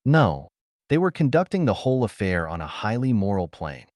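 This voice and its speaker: A composed and unabashed woman from pulp science fiction, played by a male audiobook narrator. She teases in a matter-of-fact way, with very lively pitch and a dry sound. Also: moderate pace, dry recording.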